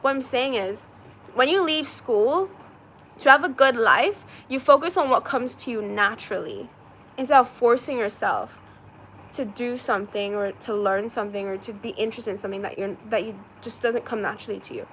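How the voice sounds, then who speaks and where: A woman's voice: fairly high pitch, moderate pace, a bit bright, slight background noise. Chatty young woman from China. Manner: rambling, heavy use of "like" and "um". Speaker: streamer. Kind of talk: casual chat, reading viewer comments.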